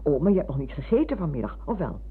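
An older actress playing a chatty, fussy, sentimental retired schoolmistress who rambles and interrupts herself in a radio play. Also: female voice, low-pitched, very animated, fast talker, slightly rough, heavily acted. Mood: fussy, playful. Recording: muffled phone-like old radio recording.

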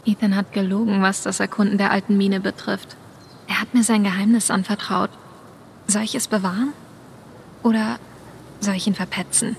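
Actress as hesitant, gentle young woman. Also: medium pitch, level tone, normal speed, gravelly, pauses often, a little hiss or hum, lightly acted. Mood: thoughtful. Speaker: video game character. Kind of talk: video game dialogue.

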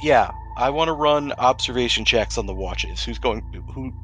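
A man runs a tabletop game as host and narrator. His manner is loose and sweary, and music plays clearly underneath his voice. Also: mid-range voice, lively intonation, moderate pace, fairly bright tone, rough recording. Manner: casual, sweary. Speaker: game master and podcast host. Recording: music behind the voice.

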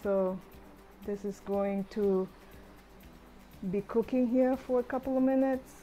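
Relaxed woman explaining a recipe. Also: fairly high pitch, a little slow, slight background noise. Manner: relaxed, chatty step-by-step explaining. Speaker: home cook. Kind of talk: cooking tutorial voice-over.

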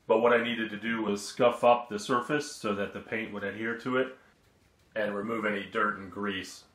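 A man's voice: fairly low pitch, level tone, moderate pace, fairly bright tone, echoey room. A man giving a plain, matter-of-fact how-to narration about work on his sailboat. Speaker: vlogger refitting his sailboat. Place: aboard a boat in a marina.

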